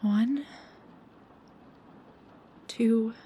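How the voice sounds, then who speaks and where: A young woman playing a snarky, high-strung research assistant in an intimate audio roleplay, with a halting, stammering, dramatic delivery. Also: warm tone, slightly rough, full character voice. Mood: alarmed, indignant. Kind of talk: intimate one-sided audio roleplay acting.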